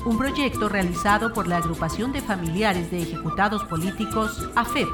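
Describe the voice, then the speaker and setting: A woman announces in a middle-pitched voice. She reads scripted credits for a radio drama, with music clearly playing behind her. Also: expressive, normal speed.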